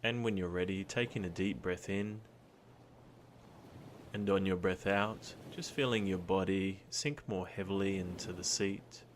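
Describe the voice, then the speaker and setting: A man guiding a meditation in a calm, soothing, gentle manner, with long pauses between his instructions. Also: sits low, a little hiss or hum. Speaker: meditation guide. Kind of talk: guided body scan meditation. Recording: surf sounds behind the voice. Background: ocean surf ambience.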